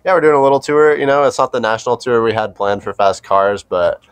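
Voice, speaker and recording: A man's voice: medium pitch, fast, clean tone, no echo. An American man, a band member, chatting casually as an interview guest. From Oregon, United States.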